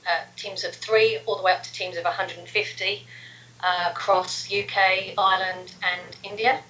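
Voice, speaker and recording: A woman's voice: mid-range voice, level tone, normal speed, sharp tone. Middle-aged London woman, echoey and distant. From London, England. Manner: friendly, hesitant with ums, lists things. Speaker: interview guest, personality test practitioner. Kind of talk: casual interview. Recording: echoey room, faint noise, off-mic.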